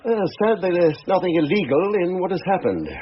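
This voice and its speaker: Upper-class racing steward: authoritative, pompous, expressive, slightly hissy, dull radio play recording. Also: male voice, sits high, brisk. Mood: measured, courteous. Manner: precise, pompous, commanding. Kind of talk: radio drama acting.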